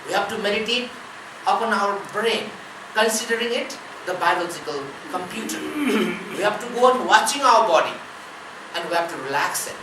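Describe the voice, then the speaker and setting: A woman as a spiritual teacher giving a discourse, measured, speaking in short listed phrases. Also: mid-range voice, animated. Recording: roomy, distant mic, ambient noise.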